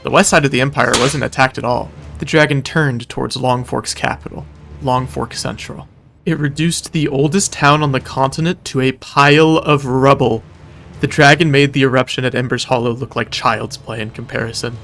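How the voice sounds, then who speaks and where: A man's voice: medium pitch, fairly even intonation, moderate pace, creaky, a bit bright, faint music behind. Wry male recap narrator. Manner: wry, casual asides mixed with dramatic storytelling. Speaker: video narrator, storyteller. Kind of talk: scripted narration, video recap of a roleplay event.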